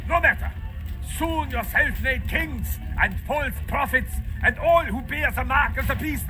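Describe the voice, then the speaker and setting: A fanatical monster hunter preaches damnation in a game. His voice is pitched extremely high for a man and delivers archaic, thunderous threats over prominent music. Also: a little slow. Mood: righteous contempt. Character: fanatical male vampire hunter, zealous religious avenger. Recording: loud music behind the voice.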